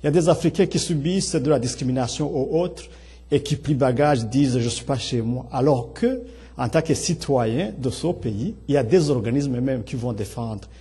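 A middle-aged man with a Burundian accent and a husky voice, giving an earnest, explanatory public speech with halting, repeated phrases. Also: mid-range voice, warm tone. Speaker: speaker at a public talk on integration. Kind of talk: public speech, partly improvised.

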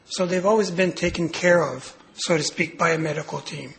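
A man with a raspy voice, hoarse from a cold, giving a clinical lecture with many pauses and fillers. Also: on the high side, a bit bright, poor audio. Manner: hesitant, many fillers and restarts. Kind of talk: medical lecture.

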